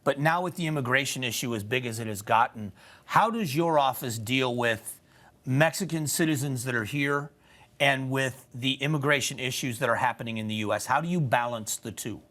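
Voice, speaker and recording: A man's voice: medium pitch, a bit bright. A man hosting a local TV news show, reading a scripted news roundup and interviewing a guest in a studio. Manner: brisk, clear presenter delivery.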